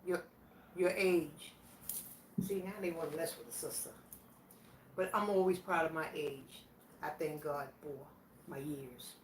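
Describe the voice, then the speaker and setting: A woman's voice: deep voice, steady tone. A woman in her sixties, from Virginia, casually working through a question challenge in a plainspoken, reflective way. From Virginia, USA. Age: 60s. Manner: plainspoken, slow and reflective, answering questions aloud. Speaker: vlogger. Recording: echoey room, voice from a distance, faint background noise.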